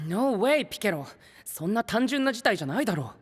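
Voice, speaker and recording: A man's voice: high-pitched, sing-song, fairly quick, slightly rough, full character voice. An actor playing a gallant, concerned young knight with a theatrical manner who peppers his speech with English, in a Japanese anime voice drama. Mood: urgent.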